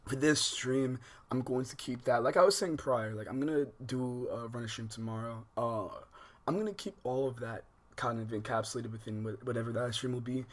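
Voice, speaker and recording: A man with an American accent hosts a casual livestream in a medium-pitched voice. He speaks in heavy slang with plenty of swearing, wandering through asides as he addresses his viewers. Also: fairly quick. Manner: slangy, profane, rambling with frequent asides. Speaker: livestream host.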